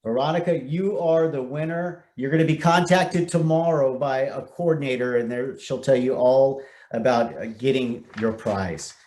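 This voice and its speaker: A man's voice: medium pitch, a little mellow. An American man hosting a community event over a video call, speaking as an announcer and presenter. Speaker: event host, news anchor.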